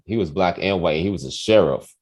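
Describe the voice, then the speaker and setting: A man in his forties with a fairly low voice and very lively intonation. He talks like a casual host telling stories among friends, loose and profane, often acting out what people would say. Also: a little fast. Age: 40s. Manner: animated, profane, acts out quoted voices. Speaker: quiz host on a talk show. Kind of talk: casual chat and storytelling.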